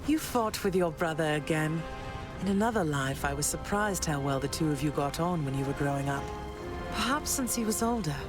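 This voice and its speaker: A woman's voice: low voice, animated, brisk. A gracious queen mother. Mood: gentle. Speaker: game character. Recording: game audio with clear music.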